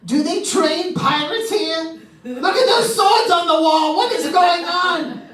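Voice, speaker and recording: A woman playing an excitable, flustered and saucy lady in a comic live radio sketch, halting and playful. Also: very high-pitched, full character voice. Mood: delighted, amused. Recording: distant, echoey stage with background noise.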